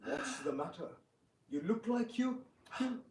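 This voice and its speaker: A man playing a sly, flattering, scheming visiting king in an amateur dramatic reading, with theatrical, drawn-out phrasing. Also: high-pitched. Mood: sympathetic. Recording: echoey room, distant mic, faint noise.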